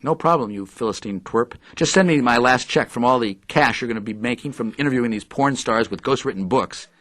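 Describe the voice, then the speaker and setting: A pompous, intellectual radio man acted by a man in an audio drama. He is wordy and sarcastic in a middling-pitched voice, recorded close and dry. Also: a little fast, fairly bright tone, dry recording, natural voice. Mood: indignant, sarcastic. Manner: verbose, sarcastic.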